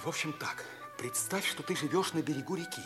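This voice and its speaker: An actor playing an earnest, impassioned schoolboy hero, speaking with fervent dramatic pauses in a 1950s radio drama. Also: male voice, on the high side, music in the background, close to an everyday voice. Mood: fervent storytelling. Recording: vintage 1950s radio play recording.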